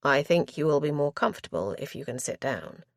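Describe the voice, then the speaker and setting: A woman voicing a composed young aristocratic man who speaks quietly and tersely. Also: low-pitched, animated, a little fast, gravelly, no room sound, clean studio sound, voice pushed down. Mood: restrained. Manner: quiet, clipped, restrained.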